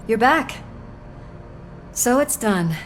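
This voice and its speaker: Policewoman, video game, fairly high pitch, wry, supportive, informal, faint background noise. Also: animated, drawn-out words. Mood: wry, caring. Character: supportive, teasing policewoman, loyal partner. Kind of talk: video game cutscene acting.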